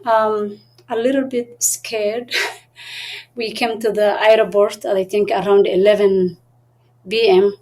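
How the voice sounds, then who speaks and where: Woman, mellow warm tone, soft-spoken, thoughtful pauses, interview guest. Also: medium pitch, level tone. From Somalia. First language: Somali. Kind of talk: interview conversation.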